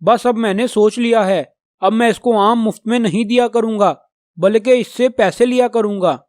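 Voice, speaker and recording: High-voiced proud talking tree played by a man. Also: normal speed, darkish tone, no room sound, clean studio sound, close to an everyday voice. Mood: grudging, stern. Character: talking male mango tree, proud and grudging.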